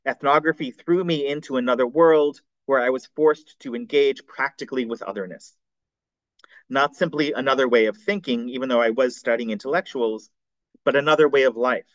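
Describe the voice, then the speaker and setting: A man reads his seminar paper in a careful, formal cadence, correcting the odd slip as he goes. His voice sits at an ordinary pitch for a man. The recording is dry and somewhat dull and muffled. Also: no echo. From United States. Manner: measured, formal reading with occasional slips. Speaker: scholar presenting a seminar paper. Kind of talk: reading a prepared academic paper.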